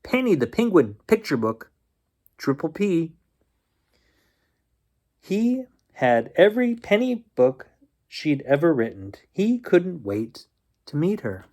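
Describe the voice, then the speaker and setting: A man reading a children's picture book aloud as a bedtime storyteller, expressively and with drawn-out suspense. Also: a little high, sing-song, darkish tone.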